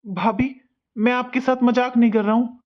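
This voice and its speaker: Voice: medium pitch, steady tone, moderate pace, close to an everyday voice. An actor voicing a newly married young son in a cartoon family drama, earnest and insistent, defiant yet pleading. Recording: dull, muffled lo-fi sound.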